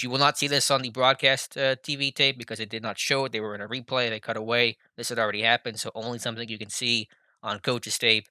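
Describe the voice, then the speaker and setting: A man's voice: on the low side, bright, forceful tone, dry recording. An American man giving casual film breakdown commentary as a sports analyst, conversational and enthusiastic, with a self-deprecating streak. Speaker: sports analyst and video host.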